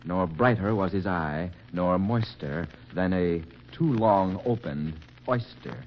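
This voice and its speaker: A man narrating a poem in a slow, expressive, rhythmic way, on a dull 1940s radio broadcast. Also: sits low, heavy background noise. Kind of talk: dramatic poetry narration.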